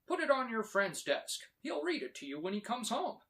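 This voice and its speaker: Man playing a friendly, higher-pitched storybook mailman. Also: huge pitch swings, moderate pace, a bit bright, exaggerated voice. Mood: friendly, brisk. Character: friendly mailman handing over a letter.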